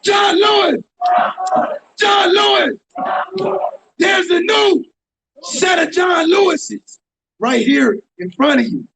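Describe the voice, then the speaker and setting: Guy yelling chants at a street protest, super high gravelly voice, loud crowd and noise all over. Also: slow pace, long pauses. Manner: shouting, chanting, fervent. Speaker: protest rally speaker. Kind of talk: shouted call-and-response chanting. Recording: loud crowd, noisy outdoor rally.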